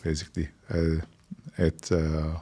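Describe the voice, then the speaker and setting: Deep-voiced Turkish man around fifty. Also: monotone, measured pace, dark tone. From Turkey. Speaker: interview guest, technology entrepreneur. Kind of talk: reflective interview talk.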